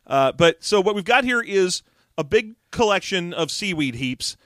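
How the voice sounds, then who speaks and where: A man's voice: high voice, expressive, fairly bright tone, no room sound. Joking man with a dry, close sound. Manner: jokey, laughs often, puts on quick impressions. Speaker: podcast co-host. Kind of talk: casual chat discussing a book.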